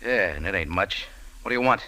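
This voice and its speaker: An actor playing a tough, slangy, sardonic American café owner and adventurer in a 1940s hard-boiled radio drama. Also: male voice, sits low, animated, a little fast, sharp tone. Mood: defiant. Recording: old radio drama with prominent music.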